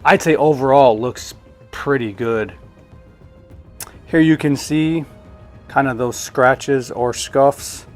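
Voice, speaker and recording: A man's voice: mid-range voice, slow pace, long pauses, darkish tone, faint music behind. A man giving a casual, hands-on unboxing review in a conversational way, thinking aloud as he goes. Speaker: product reviewer.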